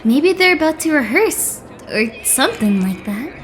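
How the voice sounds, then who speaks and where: A performer voicing a flustered, excitable teenage idol boy in an anime fandub, stammering and exasperated. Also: sits high, normal speed, slight background noise.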